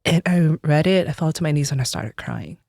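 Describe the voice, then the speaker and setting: A woman's voice: sits low, animated, brisk, darkish tone, no room sound, clean studio sound. Earnest, reflective woman in her forties. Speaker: religious sister being interviewed. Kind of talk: personal storytelling in an interview.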